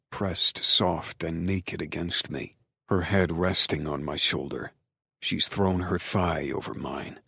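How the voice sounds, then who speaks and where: A man acting a very deep, commanding lover. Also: creaky, bright, forceful tone, husky, no echo, heavily acted. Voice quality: low growl. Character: commanding, possessive, devoted male lover. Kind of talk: audiobook romance character acting.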